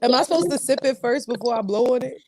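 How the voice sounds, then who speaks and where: A woman's voice: fairly high pitch, animated, fast talker. A woman, co-hosting a casual chat and speaking bluntly and fierily with teasing humor. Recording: loud crowd noise behind.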